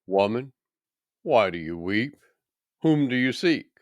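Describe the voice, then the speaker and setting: A man voicing a calm, authoritative holy teacher, solemn and deliberate, in a dramatic scripture reading. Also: medium pitch, dry recording, highs cut off. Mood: gentle authority.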